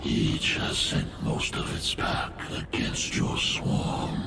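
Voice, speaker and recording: A man voicing an ancient, ominous alien creature in a video game, grave and portentous, with a rasping, processed voice. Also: heavy background noise. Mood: grave, urging. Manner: slow, grave, portentous pronouncements. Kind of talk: video game cutscene acting. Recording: loud music behind.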